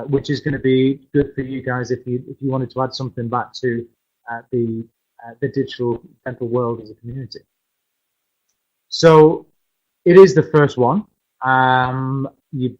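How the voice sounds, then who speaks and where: A man with a loud voice presenting a course in a live online session, hesitant, with many fillers and restarts. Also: medium pitch, lo-fi sound.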